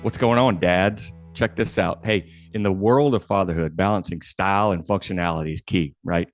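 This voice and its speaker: A man's voice: sits low, normal speed, soft background music. A man co-hosting a casual podcast, reading an ad spot and setting up questions in a playful way. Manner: brief backchannel, playful setups. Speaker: podcast co-host. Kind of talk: casual chat and read ad spot.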